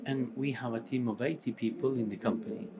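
A middle-aged man with a Portuguese accent presents from a conference stage in short, halting phrases. He has a mid-range voice. The audience can be clearly heard in the hall around him. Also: medium pitch, fairly even intonation, dull audio, crowd noise. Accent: Portuguese with Spanish mixed in. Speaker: company co-founder presenting.

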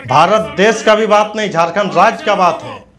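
A man's voice: sits high, normal speed, fairly bright tone. A man delivers a combative political rant to camera on a lapel mic, scornful, abusive and confrontational. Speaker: news commentator.

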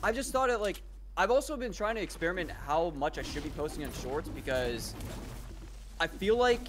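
A man's voice: on the high side, expressive, normal speed, fairly bright tone. A man who makes videos, talking casually during a game, chatty and jokingly boastful. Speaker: guest content creator. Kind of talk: casual chat while gaming. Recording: noisy mic with background hiss.

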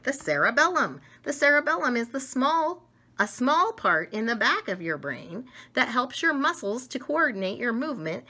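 A middle-aged woman reading a picture book aloud to children, expressive and enthusiastic, with chatty asides to her listeners. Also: high-pitched, normal speed. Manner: enthusiastic, expressive, talks directly to young listeners. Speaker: read-aloud storyteller. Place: small domestic room indoors.

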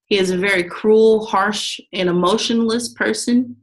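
A woman's voice: mid-range voice, fairly even intonation, a little slow, rough recording. A young woman, a student, reading a class presentation from her slides, with some restarts. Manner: reads steadily from notes with some restarts.

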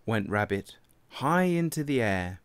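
A man reading a children's picture book aloud as an expressive, deliberate narrator. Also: mid-range voice, a little hiss or hum. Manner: animated, deliberate. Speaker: storybook reader.

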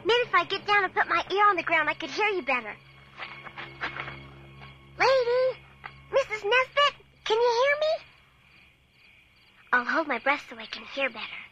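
An actress playing an earnest, excitable young girl in a radio drama, eager and expressive. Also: very high-pitched, normal speed, long pauses, muffled recording, noisy background. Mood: anxious calling. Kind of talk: radio drama acting and first-person narration. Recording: radio drama with music underneath.

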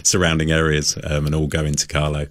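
A man's voice: very deep voice, monotone, quick pace, no echo, clean studio sound. A man speaking as a guest in a casual radio interview, rambling a little and feeling his way through answers in a self-effacing manner. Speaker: interview guest, church musician.

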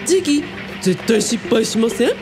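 A woman's voice: on the high side, animated, moderate pace, music in the background. A woman playing an eager, devoted young helper in a radio drama, cutesy and earnest, with cat-like endings. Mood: eager, determined.

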